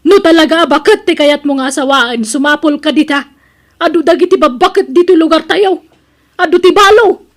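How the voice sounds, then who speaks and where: A woman's voice: high-pitched, a little fast, close to an everyday voice. A woman with an Ilocano accent playing a frank younger woman advising an older mother in a comedy drama. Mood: remonstrating.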